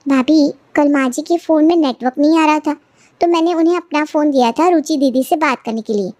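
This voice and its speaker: A woman acts out an indignant young daughter-in-law in a cartoon moral tale. She sounds high and insistent, forever complaining, in a dry recording. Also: no echo, lightly acted. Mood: eager, secretive. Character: aggrieved, indignant young daughter-in-law, eager to expose wrongs. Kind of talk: cartoon family moral story dialogue.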